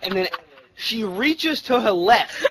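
Young male gamer, high-pitched, spinning a foul-mouthed anecdote with drawn-out pauses over noisy voice chat. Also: expressive. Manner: profane, crude, drawn-out delivery with emphatic pauses. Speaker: storyteller among gamers. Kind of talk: casual anecdote during online game chat. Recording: noisy game voice chat with clicks. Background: video game sounds and announcer.

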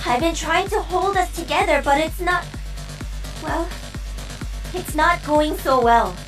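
Very high girlish pop idol AI. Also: measured pace, distant mic, music in the background. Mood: gentle, regretful. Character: volatile female digital K-pop idol AI, sweet then furious. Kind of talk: video game voice acting.

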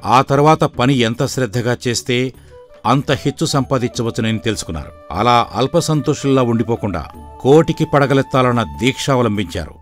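A man voicing a wise, calm village father. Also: medium pitch, natural voice. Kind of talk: animated moral story dialogue. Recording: voice over background music.

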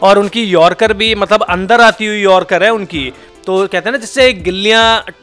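A man in his thirties talks cricket on a studio panel, speaking into a handheld mic. His voice sits high and sounds bright and forceful. He thinks aloud, piling up explanations, with faint music under him. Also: normal speed. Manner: thinks aloud with many fillers and restarts, explaining at length. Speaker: cricket analyst. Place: indoor TV studio set.

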